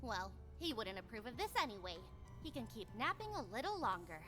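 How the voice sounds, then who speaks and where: A woman's voice: on the high side, sing-song, a little fast, over music. A woman playing an angry, bitter teenage magical girl in a visual novel, speaking in an indignant, curt, accusing way. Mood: dry, dismissive. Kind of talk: visual novel character acting.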